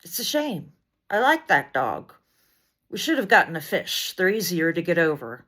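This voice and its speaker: A woman reading poetry aloud as dramatic spoken word, in an intense, deliberate delivery of short, weighted phrases. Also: on the low side, sing-song, a bit bright. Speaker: poet reading poems aloud.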